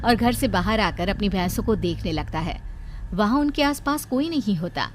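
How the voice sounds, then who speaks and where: A woman's voice: mid-range voice, expressive, moderate pace, slight background noise. A woman giving a scripted narration of a story.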